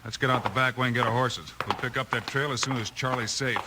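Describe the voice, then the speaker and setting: A man's voice: mid-range voice, steady tone, quick pace, natural voice. Actor as firm heroic western lawman, noisy recording. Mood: urgent, steady. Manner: firm, decisive. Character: steady, commanding heroic Old West lawman. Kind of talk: 1950s western radio acting. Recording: noisy vintage 1950s radio recording.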